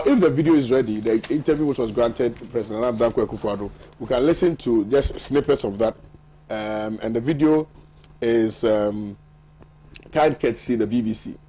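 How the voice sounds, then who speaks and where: Ghanaian male TV host, slightly husky, lively banter, reads out viewer texts. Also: medium pitch. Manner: lively, laughs often, banters with panel. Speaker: morning show host. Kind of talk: newspaper review, reading viewer messages. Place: TV studio.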